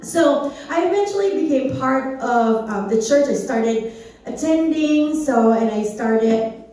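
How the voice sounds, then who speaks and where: A woman's voice: sits high, animated, takes it slowly, warm tone. Woman speaking in an echoey hall. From Philippines. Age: 30s. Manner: chatty, asks the audience questions. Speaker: church speaker. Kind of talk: informal church message. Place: church hall, on a small stage. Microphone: handheld mic, recorded from far back. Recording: echoey hall, distant phone camera.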